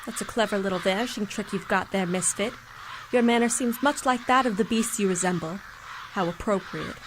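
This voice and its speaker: A woman's voice: medium pitch, a little fast, noisy background, close to an everyday voice. Woman acting a haughty, scornful mutant warrior. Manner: sarcastic, formal. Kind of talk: fan audio drama acting.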